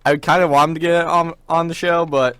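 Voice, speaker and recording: Young man, slightly high, dry close sound. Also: little pitch movement, brisk, fairly bright tone, smooth, no echo. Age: young adult. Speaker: college radio show host. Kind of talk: casual chat.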